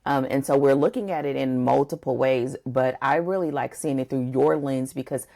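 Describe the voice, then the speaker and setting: A woman's voice: very deep voice, normal speed, gravelly, mellow. A woman co-hosting a podcast, discussing a book conversationally and thinking aloud in a discursive way. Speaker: podcast co-host.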